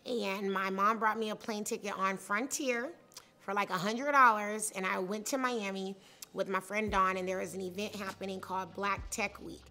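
A woman in her thirties, a startup founder, telling her personal story in an interview, candid and chatty, in a high-pitched voice. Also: fairly even intonation, normal speed, bright, forceful tone, soft background music. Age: 30s. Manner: candid, conversational, with many fillers. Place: studio set with leafy wall.

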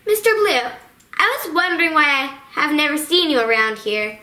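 A woman's voice: sits high, big pitch swings, normal speed, pushed and bright, full character voice. A woman voicing a perky, girlish puppet who hosts a children's talk show in a sweet, eager manner. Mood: perky, curious. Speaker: host. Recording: roomy echo.

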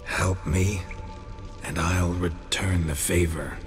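A man playing a weary, stoic monster hunter in a video game, gruff, blunt and laconic. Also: steady tone, takes it slowly, music in the background. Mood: grim, persuasive. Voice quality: low, gruff. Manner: restrained, laconic. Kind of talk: dramatic video game acting.

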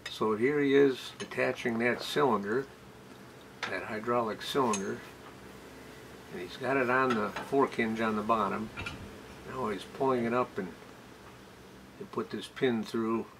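A man's voice: fairly low pitch, gravelly. An older man from the States narrating an assembly how-to in a plain, hesitant way with many fillers. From United States. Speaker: video host showing machine assembly. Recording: voice recorded outdoors with steady background noise.

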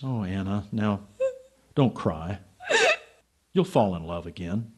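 Man playing an animated, wry amateur sleuth. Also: sits low, takes it slowly, slightly rough, no echo, full character voice. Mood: gentle, wry. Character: naive, stubborn, wry male amateur sleuth. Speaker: actor in radio drama. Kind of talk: radio drama acting.

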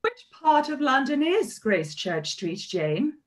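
Woman as haughty high-voiced society lady. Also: very animated, a little slow. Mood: condescending. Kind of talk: period radio play acting. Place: room at home, on a video call.

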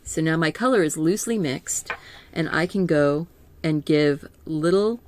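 A middle-aged woman teaching a live painting lesson in a calm, soothing voice, gently thinking aloud as she works. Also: deep voice. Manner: slow, gentle, thinking aloud with small laughs. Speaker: art teacher.

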